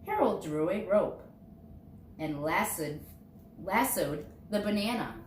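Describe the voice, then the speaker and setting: Woman reading a storybook, lively swooping pitch. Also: takes it slowly. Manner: expressive, dramatizing key words for young listeners. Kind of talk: storybook read-aloud. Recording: roomy echo, distant mic, faint noise.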